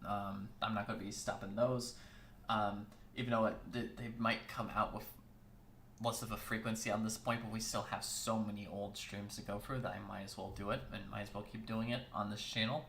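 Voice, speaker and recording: A man hosting a weekly casual chat show, talking in a rambling way with lots of fillers and restarts, his voice hoarse. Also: on the low side, flat delivery, brisk, fairly bright tone, roomy sound, slight background noise. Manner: rambling, filler-heavy, self-correcting. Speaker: host.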